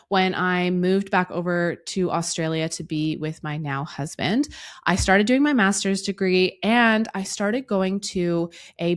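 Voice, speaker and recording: A woman in her thirties hosting a solo podcast, her voice hoarse and raspy from a cough, talking earnestly and reflectively to the listener. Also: fairly low pitch. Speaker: podcast host.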